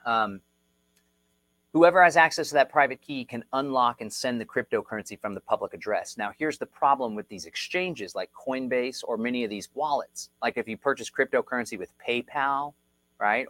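A man in his thirties with a Texan drawl runs a live online tutorial. He talks in an energetic, conversational way and reads viewer comments aloud as he goes. His voice sits in a middle pitch, and the sound is dry with no room echo. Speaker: crypto instructor.

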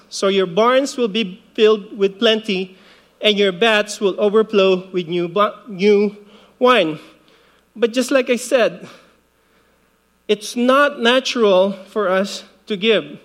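High pitched man in his 40s from the philippines, easygoing sermon, chatty and personal. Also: fairly bright tone. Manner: conversational preaching with light laughter and asides. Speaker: pastor.

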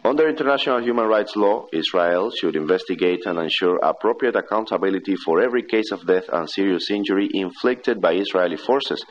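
A man reads scripted radio news in a formal, measured manner. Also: low voice. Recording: dull, lo-fi shortwave radio broadcast.

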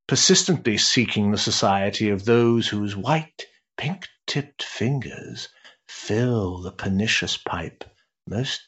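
A worldly older duke, played by a male actor, speaks in a low, unhurried voice with a husky edge. His period-drama delivery is pompous, ornate and drily sardonic. The recording is somewhat muffled. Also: fairly bright tone, heavily acted. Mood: dryly scornful. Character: urbane, cynical older English aristocrat, a duke and uncle. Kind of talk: period stage-drama acting.

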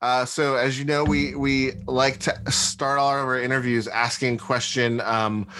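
A man's voice: medium pitch, little pitch movement, a little slow, bright, forceful tone. Hesitant male podcast host. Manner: hesitant, many ums and uhs. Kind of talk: casual interview hosting.

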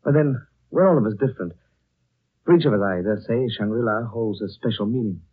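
An actor playing a composed, wistful gentleman traveler, measured and reflective, in 1930s radio drama style. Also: fairly low pitch, slightly rough. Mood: tender, reflective. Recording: thin, narrow old 1930s radio recording.

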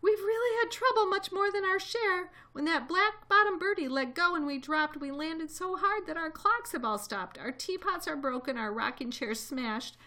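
A woman's voice: normal speed, few pauses, bright, forceful tone. A woman voicing a tiny, beleaguered male mayor of miniature folk from a storybook, in a plaintive, pleading manner. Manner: pleading, high-pitched little-person plaintiveness. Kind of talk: read-aloud storybook character voice.

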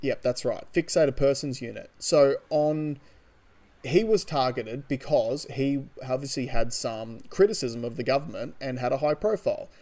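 A man's voice: medium pitch, moderate pace, darkish tone. An Australian man co-hosting a podcast, talking casually and emphatically through his opinions on current affairs. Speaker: podcast co-host. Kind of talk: casual opinionated political discussion.

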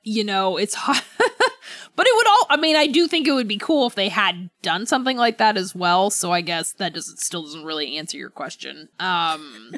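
A woman co-hosting a casual book discussion podcast, thinking aloud in a halting, conversational way. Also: medium pitch, lively intonation, fairly bright tone, no room sound. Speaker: podcast co-host.

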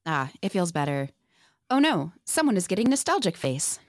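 A woman's voice: fairly low pitch, sing-song, moderate pace, no room sound, studio quality. A woman reading the part of a wry, guarded young college woman in a script table read. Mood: wry, hurt.

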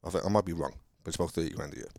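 Man, Welsh accent, very low voice, dry sound, halting restarts, casual chat. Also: gravelly, darkish tone, no echo. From Wales. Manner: rambling, halting, frequent restarts. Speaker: car salesman guest. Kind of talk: casual conversation.

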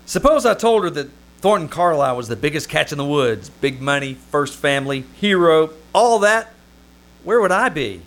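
A man's voice: on the high side, moderate pace. A man playing a wry, lovesick and easily flustered Hollywood press agent in an old-time radio comedy performed on a theater stage. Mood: wry narration. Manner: wry, excitable, stammering when flustered. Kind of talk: old-time radio comedy acting with first-person narration. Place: small-town theater stage. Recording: noisy live theater stage recording.

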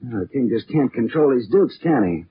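An actor playing a tough, wry American private detective, deadpan and wisecracking, in hardboiled 1940s radio drama style. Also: male voice, mid-range voice, fairly quick, slight background noise, lightly acted. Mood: cool, mocking. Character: wry, tough male private detective narrating his case. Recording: muffled old radio broadcast with music.

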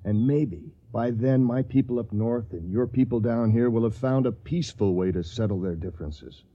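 An actor playing an earnest, gentle young man in love, husky-voiced, in a radio drama. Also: medium pitch, moderate pace, lightly acted. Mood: reassuring. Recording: slightly dull old radio recording.

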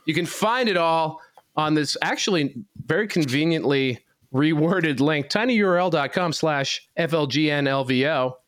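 Clear-voiced man rambling through event plugs. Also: on the high side, measured pace, a bit bright, no echo, clean studio sound. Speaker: co-host. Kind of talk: casual chat. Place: studio.

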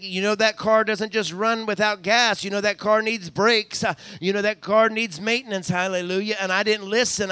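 High, bright-voiced preaching pastor. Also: male voice, smooth, no echo. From United States. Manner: preaching with frequent hallelujahs and call-outs to the congregation; repeats phrases for emphasis, building to raised climaxes. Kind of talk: live church sermon. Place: church sanctuary during a live service.

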